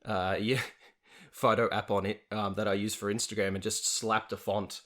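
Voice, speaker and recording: A young male podcast host with a fairly low voice chats casually. He is talkative and self-deprecating, and he laughs often. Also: little pitch movement, normal speed, a bit bright. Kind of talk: casual interview chat.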